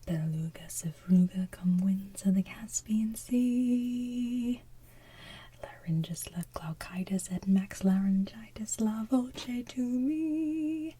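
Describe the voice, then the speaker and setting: A woman voicing a scheming, coaxing sea witch villainess from an animated musical, in theatrical, drawn-out soft singing and whispered lines. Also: fairly high pitch, husky. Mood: commanding incantation.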